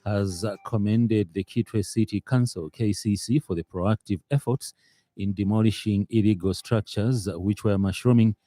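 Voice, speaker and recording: A man's voice: lowish voice, steady tone, normal speed, mellow, no room sound. A man with a Zambian accent reading a scripted radio news bulletin as the newsreader in a studio.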